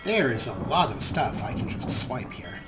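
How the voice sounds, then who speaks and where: A man's voice: mid-range voice, sing-song, normal speed, heavy background noise. A man hosting a let's play, giving wry, musing commentary on his character in the third person.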